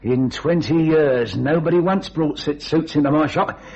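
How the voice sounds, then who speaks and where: A man's voice: a little high, normal speed, gravelly, a little mellow, breathy edge. A man playing a sly, wheedling tailor turned blackmailer in an old-time radio thriller, with an insinuating, mock-innocent manner. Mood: smug, insinuating. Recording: dull vintage radio recording.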